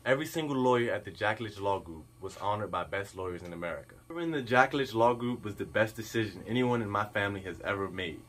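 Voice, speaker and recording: A man's voice: fairly low pitch, animated, fast talker, hardly any pauses, a bit bright. A young man reading a scripted advertisement in a stiff manner. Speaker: ad spokesman. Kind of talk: scripted ad read.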